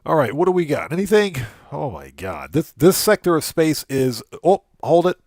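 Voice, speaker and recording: A man's voice: on the high side, very animated, normal speed, darkish tone, dry recording, clean studio sound. A man hosting a casual gameplay livestream, commenting in exclamations and emphatically repeated short phrases. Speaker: livestream host.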